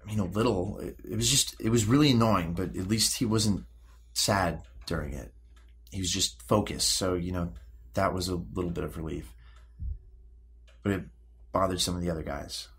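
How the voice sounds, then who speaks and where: An actor playing a defensive, moody sixteen-year-old American high school boy in an audio drama, halting and slangy. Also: fairly bright tone, slight background noise. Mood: reluctant, grumbling. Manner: halting, repeating words, slangy teenage speech. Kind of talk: naturalistic audio drama dialogue.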